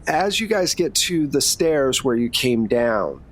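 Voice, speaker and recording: Male game master, average pitch, casual and explanatory tabletop narration. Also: very animated, measured pace. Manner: conversational, explanatory.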